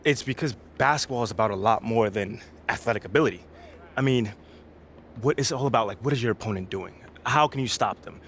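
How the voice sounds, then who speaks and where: A man's voice: mid-range voice, a little fast, fairly bright tone, close to an everyday voice. An actor playing an earnest young male basketball rookie in a video game, talking in an informal, self-assured, slangy way. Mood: explaining, assured. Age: young adult. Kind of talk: video game cutscene acting, casual interview talk. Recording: clear background noise.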